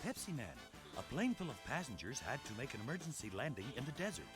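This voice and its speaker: Man acting as animated, earnest rescue caller. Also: mid-range voice, fast, close to an everyday voice. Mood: earnest appeal. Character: earnest man calling on a superhero for rescue help. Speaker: cutscene announcer in a video game. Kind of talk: scripted video game cutscene dialogue. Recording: loud music over the voice.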